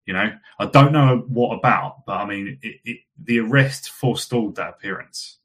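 A man talking as a guest on a casual podcast, his voice hoarse and fading from a cough. He speaks in a lowish register, rambling loosely with plenty of fillers and restarts. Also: moderate pace. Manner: chatty, many fillers and restarts. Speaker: guest commentator.

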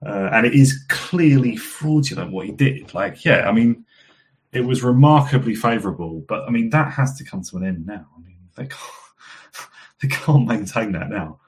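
A hoarse man speaking as a guest in a casual podcast discussion, chatty and full of fillers and restarts, losing his voice from a cough. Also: mid-range voice, expressive, normal speed. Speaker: guest commentator.

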